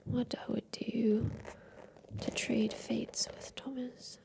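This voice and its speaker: A woman playing an aging, wistful inventor confined in a haunted attic, whispering softly and confidingly in an ASMR roleplay about revenge. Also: on the high side, flat delivery. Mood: wistful, tender. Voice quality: soft breathy whisper. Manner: slow, wistful, confiding, halting.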